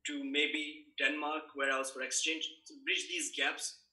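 A man's voice: fairly high pitch, fairly even intonation, unhurried, sharp tone. A young man from Balochistan, Pakistan, with a Pakistani accent and Balochi as his first language, speaking earnestly and thoughtfully as an interview guest, with frequent ums. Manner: earnest, thoughtful, many fillers. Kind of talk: conversational interview. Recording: roomy echo.

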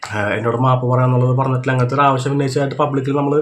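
A man with a Kerala accent talks through his reactions off the cuff. His delivery is very quick and stays on a fairly flat pitch. He explains as he goes, often checking that the listener has understood. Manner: explanatory, repeats check-in tag questions. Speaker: reaction video commentator. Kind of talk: unscripted reaction commentary.

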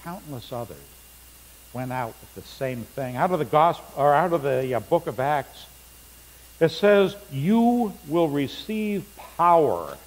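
A man's voice: medium pitch, a little mellow. A middle-aged male pastor preaching a sermon in a church sanctuary, reading scripture aloud with rising emphasis. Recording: long church reverb, faint hum.

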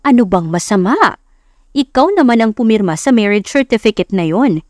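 A woman's voice: fairly high pitch, sing-song, moderate pace, darkish tone, no echo, clean studio sound. A woman voicing a gushing, scheming middle-aged rich mother in an audio romance story, drawing out her words. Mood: breezy, insistent.

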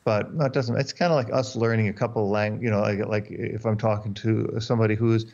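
Brief: german-native male dog trainer, 50s, lowish voice, lo-fi call mic, restarts a lot. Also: steady tone, fairly quick, a little mellow. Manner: explanatory, repeats words and restarts often. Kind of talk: interview guest on a video call. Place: dark room indoors. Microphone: headphones and desk mic on a video call.

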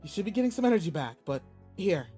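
A man's voice: sits high, big pitch swings, fast, mellow, soft background music. A man playing a brusque, anxious young student bully who secretly cares, in an audio roleplay drama with emotional delivery. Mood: tender, worried.

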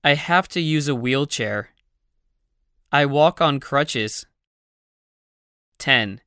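This voice reads numbered study phrases at a very slow, deliberate pace, leaving clear space between each line. The tone is bright and crisp. It is recorded close and dry, with no room sound. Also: medium pitch, lively intonation, no echo. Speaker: narrator of a language-learning audiobook. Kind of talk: slow scripted phrase reading for learners.

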